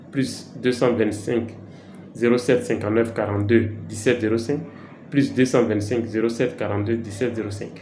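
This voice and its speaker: A man with an Ivorian French accent teaching a casual language lesson, slow and repetitive with frequent hesitations. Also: medium pitch, darkish tone. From Côte d'Ivoire. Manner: slow, repeats words for learners, frequent hesitations. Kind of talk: informal language lesson vlog. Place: travelling, recorded in an echoey room. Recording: echoey room, faint background hiss.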